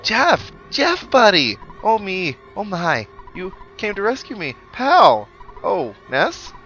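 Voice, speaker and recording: A man acting gushing schoolboy pal, raised pitch, devoted, excitable, video game dialogue, music behind. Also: takes it slowly, muffled recording, heavily acted. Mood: overjoyed, gushing. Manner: breathless exclamations, gushing. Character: excitable, gushing, devoted boy best friend. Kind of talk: video game dialogue read aloud in character.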